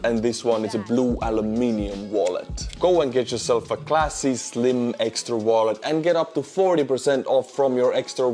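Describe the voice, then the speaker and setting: A man's voice: mid-range voice, dark tone. Casual Estonian-accented man hosting online commentary. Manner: informal, conversational, wry asides. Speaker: YouTube war-news host.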